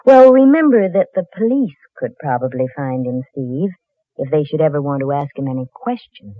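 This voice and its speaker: An actress playing a young, scheming wife in old-time radio drama, self-assured and insinuating. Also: female voice, sits low, lively intonation, normal speed. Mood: veiled threat. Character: young, cool, scheming wife, slyly threatening. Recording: muffled old radio recording.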